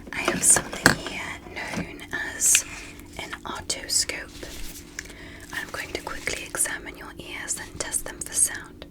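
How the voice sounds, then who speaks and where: A gentle, curious female alien doctor played by a woman for an ASMR medical roleplay. She whispers throughout at a very slow pace. Her manner is soothing, formally polite and encouraging, as if guiding a human patient through an exam. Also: close to an everyday voice, poor audio.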